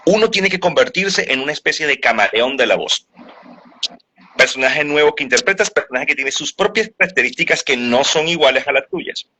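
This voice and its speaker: A man's voice: fairly high pitch, expressive, a little fast, bright, forceful tone, breathy edge. A man who works as a voice actor and dubbing teacher, explaining his craft at length in a casual interview, often restarting his sentences.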